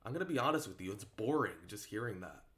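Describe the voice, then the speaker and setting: Halting, rambling man talking off the cuff. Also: on the low side, expressive, a bit bright. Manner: halting, rambling, repeats himself for emphasis. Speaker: online video creator. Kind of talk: casual unscripted opinion talk to viewers.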